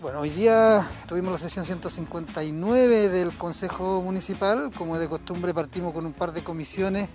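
A man with a Chilean accent giving an unscripted report as a councillor, halting and adding tag questions. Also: high-pitched, a little mellow, soft background music. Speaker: town councillor.

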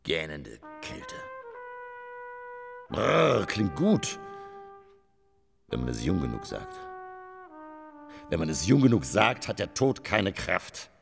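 Radio play: self-absorbed stage tragedian, low gravelly voice, declamatory, rambling, melancholy. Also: male voice, wildly swooping pitch, slow pace, long pauses, over music, exaggerated voice. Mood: musing, theatrical. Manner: declamatory, rambling, dramatic swings from whisper to outburst. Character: theatrical, self-absorbed, melancholy male stage actor living for theatre. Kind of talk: German radio drama monologue.

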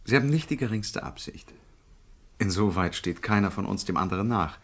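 A male crime boss of about forty in a radio thriller. The voice is low and somewhat rough. He is genial and courteous on the surface, calculating and persuasive underneath. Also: animated, fairly quick. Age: around 40. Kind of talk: radio crime drama acting.

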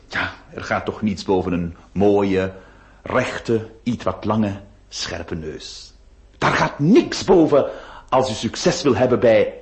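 A man's voice: fairly low pitch, darkish tone. A male actor playing a flirtatious, easily flustered notary in a radio play, confiding and hesitant. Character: flirtatious, flustered ladies' man notary telling his story. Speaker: lead actor and narrator. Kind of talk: theatrical radio drama acting.

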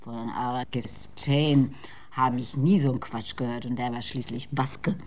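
A woman's voice: rumbling low, steady tone, normal speed, darkish tone. An actress playing a bitter, drunken woman who curses scornfully in a radio drama. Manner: cursing, scornful, breaks into tears. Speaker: voice actor.

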